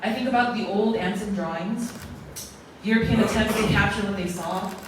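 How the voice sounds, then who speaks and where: Woman, formal closing speech, earnest and ceremonial, mid pitch, captured by a distant mic in a big echoing hall with faint noise. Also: normal speed. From American Samoa. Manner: earnest, ceremonial, speaks in lists. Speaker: closing speaker at an arts awards event. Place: large echoey museum hall.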